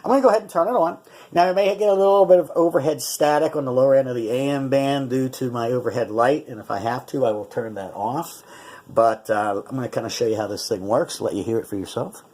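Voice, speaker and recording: A man's voice: mid-range voice, quick pace, darkish tone. Man casually demonstrating gear. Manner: conversational, explanatory, self-correcting. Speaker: radio reviewer.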